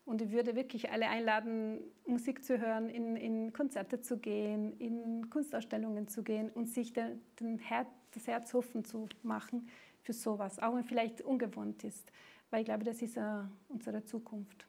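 A woman's voice: a little high, flat delivery, moderate pace, vocal fry, a little mellow. A middle-aged woman with an Italian accent, a singer-songwriter talking in an interview, hesitant and searching for words with many fillers. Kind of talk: interview answers. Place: studio.

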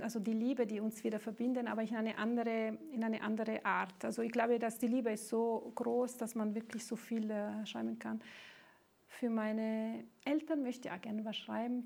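A woman with an Italian accent, a native Italian speaker, answering interview questions about her songwriting, pausing often to search for words. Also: fairly high pitch, gravelly, warm tone. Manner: hesitant, searching for words, many fillers.

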